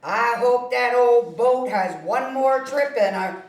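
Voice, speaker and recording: Easygoing, high-pitched buddy in his forties, read by a woman, folksy, unhurried, roomy table-read recording. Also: far from the mic. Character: fun-loving man in his forties, slightly high-voiced. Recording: echoey room, off-mic.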